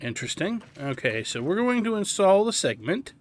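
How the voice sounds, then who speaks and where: A man giving casual tutorial commentary on a video game, explaining things in deliberate, paused phrases. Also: high voice, very animated, a little hiss or hum. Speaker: gaming guide host.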